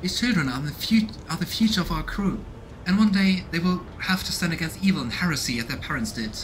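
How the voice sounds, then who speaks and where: A man's voice: on the high side, animated, brisk, a bit bright, a little hiss or hum, voice pushed up, low-quality recording. A man voicing a zealous, devout female warrior nun from a video game, speaking in a formal, earnest way. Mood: earnest, solemn.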